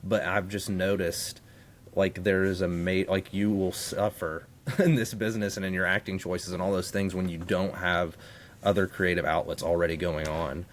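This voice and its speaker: A man's voice: low-pitched, little pitch movement, normal speed. A man co-hosting in casual podcast chat, with an earnest, reflective way of talking. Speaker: guest co-host, voice actor. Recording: faint background noise.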